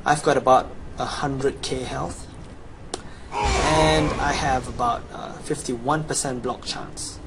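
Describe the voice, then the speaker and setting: A man's voice: medium pitch, fairly even intonation, slow pace. A young adult man giving a scripted promotional voice-over as a gamer presenting his guide. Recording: clearly noisy home recording.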